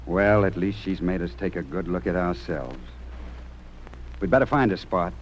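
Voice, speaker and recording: A man's voice: low-pitched, normal speed, close to an everyday voice. An American man acting in an old-time radio drama, playing a stern, impassioned talent judge with earnest, theatrical speechifying. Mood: weary regret. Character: stern, impassioned male music talent judge, remorseful underneath. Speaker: actor. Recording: noisy old radio recording.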